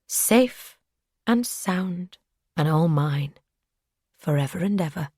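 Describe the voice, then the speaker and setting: A woman voicing an obsessive male captor who speaks in soft, breathy whispers. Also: fairly low pitch, lively intonation, slow pace, no room sound, studio quality. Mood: creepy, soothing.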